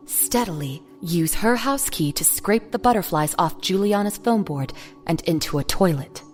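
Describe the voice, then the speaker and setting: A woman reading scripted narration for an audio drama. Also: mid-range voice.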